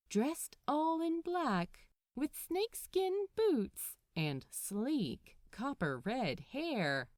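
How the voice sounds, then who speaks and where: A woman narrates fiction with highly varied, lively intonation. She takes her time over each phrase, shaping it for drama. The sound is dry, with no room echo. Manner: animated, measured, dramatic phrasing. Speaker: podfic narrator. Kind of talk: expressive scripted storytelling narration.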